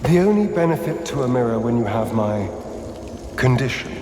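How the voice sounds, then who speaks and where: A man's voice: mid-range voice, animated, normal speed, mellow, roomy sound, big-room sound, a little hiss or hum, close to an everyday voice. A man playing a vain, wry aristocratic vampire in a video game, theatrical, arch and wistful.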